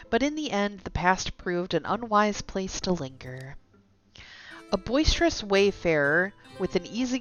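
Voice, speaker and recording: A woman's voice: fairly low pitch, a bit bright. A woman live-streaming a game, reading its story text aloud with some stumbles and chatting to viewers in asides. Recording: dull lo-fi sound with music behind. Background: game music.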